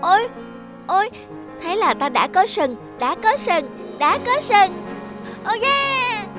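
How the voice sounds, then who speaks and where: A woman's voice: extremely high pitch, expressive, slow, over music, exaggerated voice. A woman voicing a small, timid and envious rabbit in a children's cartoon, whiny and full of exclamations. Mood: overjoyed. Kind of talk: animated children's fairy tale acting.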